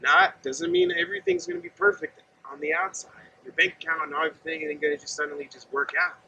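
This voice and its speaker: A man in his thirties preaches in an earnest, conversational way. His voice sits very high and is heavily gravelly, with a bright, tense edge and lively swings in pitch. He stands outdoors some distance from the camera, with a faint open-air hum behind him. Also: fast, highs cut off. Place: outdoors by a gravel lot and fence.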